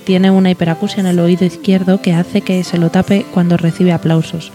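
A voice-over interpreter narrating and translating the answers in an even, read-aloud delivery. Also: mid-range voice, a little fast, soft background music.

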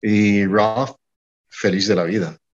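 Low-voiced Mexican man telling anecdotes. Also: slow, lo-fi sound. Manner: animated anecdote-telling, acting out dialogue. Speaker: music promoter. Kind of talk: storytelling in an interview.

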